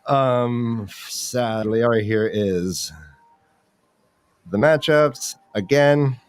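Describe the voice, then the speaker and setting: A man's voice: medium pitch, extremely slow, clear, resonant tone. A man hosting a casual sports chat. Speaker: show host.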